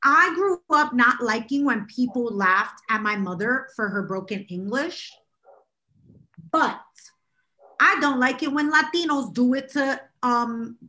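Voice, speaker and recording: Woman podcast cohost, kinda high voice, ranty and emphatic. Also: animated, a little slow, sharp tone, rough recording. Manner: ranting, emphatic and opinionated. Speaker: podcast co-host. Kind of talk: casual chat.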